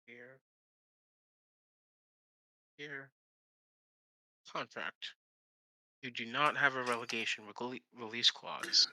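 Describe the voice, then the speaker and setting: A man's voice: medium pitch, fairly even intonation, slow pace, long pauses, pushed and bright, no room sound, poor audio. A man co-hosting a live game stream, making short offhand remarks with dry humor. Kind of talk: casual live-stream chat.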